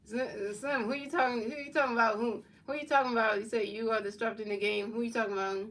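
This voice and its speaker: A woman's voice: a little high, far from the mic. A woman streamer doing play-by-play commentary of a football video game and answering her chat in casual slang. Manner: announces plays in a measured, even delivery.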